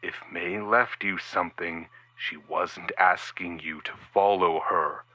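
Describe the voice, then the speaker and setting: A curt, guarded private investigator speaks slowly and very low, with an unusually bright, cutting edge. His voice is dry and slightly dull, like a phone line. He warns rather than talks. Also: steady tone, dry recording, full character voice. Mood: stern. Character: gruff, wary middle-aged male private investigator.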